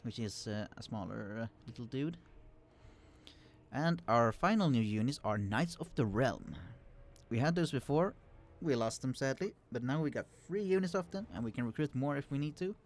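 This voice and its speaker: Non guy doing a chill let's play, lots of uhs, medium voice, recorded kinda quiet. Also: medium pitch, expressive, normal speed. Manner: explanatory, many fillers and restarts. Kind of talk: casual gameplay commentary. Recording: quiet voice, turned down to hide computer hum.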